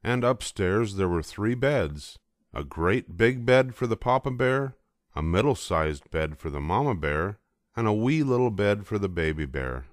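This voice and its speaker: Older man, very low voice, storybook narration, dry close sound. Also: expressive, measured pace, no echo. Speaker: storybook reader. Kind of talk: scripted storybook narration for children.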